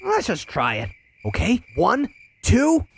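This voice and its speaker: A man playing an eager, cocky, youthful cartoon hedgehog hero in a fan audio drama, chatty and excitable. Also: high voice, takes it slowly, gravelly, slightly rough, heavily acted. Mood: upbeat, explaining.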